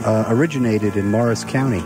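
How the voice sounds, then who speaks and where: A man's voice: lowish voice, brisk. A man co-hosting a radio show, chatting casually about music and often asking questions or echoing his partner. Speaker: radio co-host. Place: radio studio.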